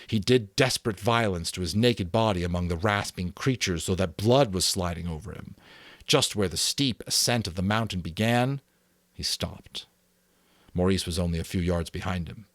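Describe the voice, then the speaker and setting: A man's voice: sits low, normal speed, a bit bright, dry recording. A man giving a dramatic audiobook reading of a novel, sometimes stumbling and rereading a line. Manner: stumbles and rereads lines, stops to redo a take. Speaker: amateur voice actor reading a novel.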